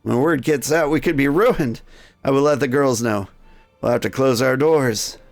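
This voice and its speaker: Male reader as haughty Victorian madam, game character: brisk, impatient, businesslike, with creaky fry. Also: medium pitch, dry recording, soft background music, natural voice. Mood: worried.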